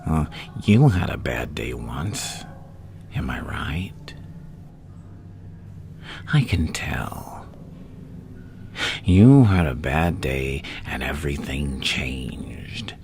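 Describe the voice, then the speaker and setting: Crazy evil clown villain, gravelly half whisper asmr, super slow and taunting, swings up and down a lot, some background noise. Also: male voice, extremely low pitch, expressive, heavily acted. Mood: probing, sinister. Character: menacing, gleefully insane adult male supervillain clown.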